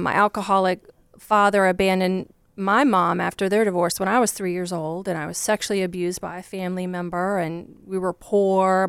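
A woman with a Southern accent from Virginia speaks as a divorce coach, earnest and passionate, running long explanations together. Her voice is smooth and resonant. The sound is close and dry, with no room echo. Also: on the low side. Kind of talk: podcast guest discussion.

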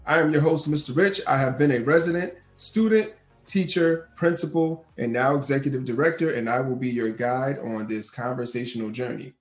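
A man's voice: mid-range voice, normal speed, hardly any pauses. A man hosting a school talk show, introducing and interviewing a guest with upbeat enthusiasm. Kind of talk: casual interview.

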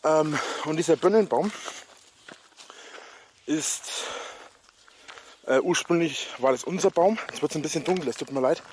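A man narrating a walking vlog outdoors in a forest, out of breath, rambling with many halting fillers, filmed on a handheld camera. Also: a little high, darkish tone, a little hiss or hum. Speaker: vlogger.

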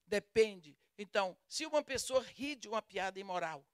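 A woman's voice: medium pitch, animated, normal speed, no echo, clean studio sound. A woman with a Brazilian Portuguese accent preaching a sermon, retelling a story with dramatic voices for the quoted lines.

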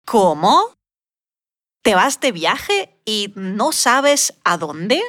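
Brief: woman, lesson questioner, slow and very expressive in pitch, dry close sound. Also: no room sound. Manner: asks short comprehension questions. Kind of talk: slow, clear drilling questions.

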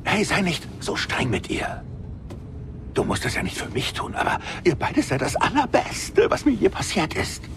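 An actor playing an excitable, soft-hearted gambler pilot, an alien, in a game audio drama, chummy and pleading. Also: male voice, high-pitched, moderate pace, creaky, background noise, full character voice. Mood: pleading, remorseful. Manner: chummy, pleading, wildly swooping delivery. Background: music.

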